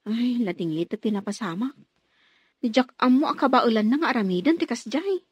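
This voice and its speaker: A concerned neighbor in a radio drama, confiding like an older sister. Her voice is fairly high, in a dry recording. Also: expressive, fairly quick, slightly rough, no echo, dull audio. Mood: regretful. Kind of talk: Ilocano radio drama acting.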